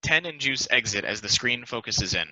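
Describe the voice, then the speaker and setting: A man narrates stage directions from a script. His voice sits at a middle pitch and is very bright and sharp, recorded close and dry. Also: animated, moderate pace, no echo, rough recording.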